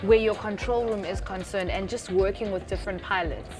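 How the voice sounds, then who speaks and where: A South African woman hosting a youth TV interview in an informal, chatty, expressive way. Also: mid-range voice, fairly quick, over music. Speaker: TV show host. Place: TV studio.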